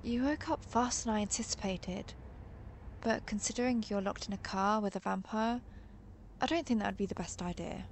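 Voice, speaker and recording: A woman playing a protective vampire who hunts her own kind, speaking in a measured, confiding way in an intimate audio roleplay. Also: medium pitch, slight background noise, close to an everyday voice. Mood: soothing, protective. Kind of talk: intimate scripted audio roleplay addressed to the listener.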